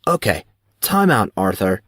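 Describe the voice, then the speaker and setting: A man's voice: lowish voice, big pitch swings, measured pace, fairly bright tone, breathy edge, no echo, clean studio sound, heavily acted. A man playing a laid-back, slangy young schoolboy with a teasing manner in an audiobook. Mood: interrupting. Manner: casual, slangy.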